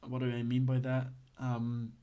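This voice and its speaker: A man's voice: medium pitch, monotone, normal speed, dark tone, dull audio. A man from Belgium, giving a casual, hesitant lesson full of fillers and restarts. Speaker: instructor.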